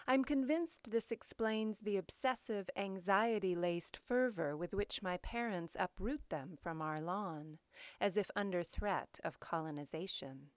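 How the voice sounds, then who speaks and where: A woman reads in a literary, scripted style, with slow and deliberate phrasing. Her voice is clear and smooth, sitting at a medium pitch. The sound is dry, with very little room around it. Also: no echo. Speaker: poetry show host and reader. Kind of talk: literary poetry reading, scripted introduction.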